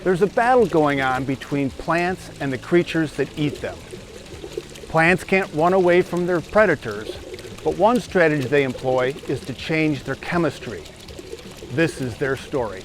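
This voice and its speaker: A man hosting a gardening show, giving steady, scripted, explanatory narration. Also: a little high, measured pace, slight background noise.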